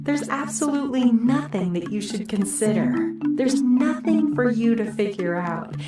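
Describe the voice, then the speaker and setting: A woman's voice: on the high side, animated. A woman playing a serene, eerily soothing theme-park radio announcer in a game, reassuring and hypnotic in her scripted messages. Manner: slow, reassuring, repetitive, hypnotic. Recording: roomy echo under loud organ music. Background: loud organ music.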